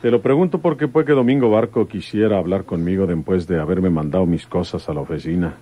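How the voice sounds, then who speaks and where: A rural Mexican detective in a radio soap. His voice is low and soft-edged. He talks in a folksy, coaxing, flirtatious way with small hesitations. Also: fast. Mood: casual. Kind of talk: Spanish-language radio soap acting.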